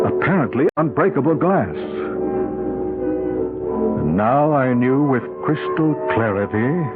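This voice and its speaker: A man's voice: medium pitch, close to an everyday voice. Male actor for an alarmed, probing pilot in a vintage radio mystery; dramatic swoops, thin tinny sound. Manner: measured suspenseful delivery, probing questions. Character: stranded male pilot guest, alarmed, insistent, level-headed. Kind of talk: old-time radio drama acting, first-person narration and dialogue. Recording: tinny old radio broadcast, loud music.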